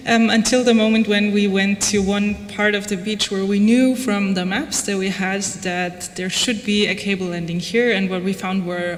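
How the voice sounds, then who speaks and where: Woman lecturing informally in an echoey hall. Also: mid-range voice. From Austria. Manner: conversational, wry, frequent "like" and "you know". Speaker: lecturer presenting own research project. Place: reverberant lecture room, before an audience. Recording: echoey hall, background noise.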